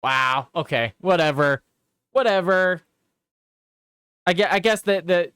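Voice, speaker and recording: A man's voice: a little high, a bit bright, smooth, no echo, clean studio sound. A man hosting a live political show, reading headlines aloud and chatting casually with his viewers, swearing and repeating himself as he reacts.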